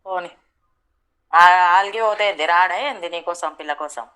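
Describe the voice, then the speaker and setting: A woman's voice: on the low side, normal speed, pushed and bright. A woman with a Telangana accent in a casual phone conversation, talking bluntly and argumentatively. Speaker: friend on the receiving end of a prank call.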